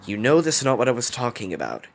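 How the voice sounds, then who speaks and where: A man acting an earnest, animated young man. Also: mid-range voice, fairly quick, voice pushed up. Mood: annoyed, pushing. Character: earnest, concerned young man, calm but easily exasperated.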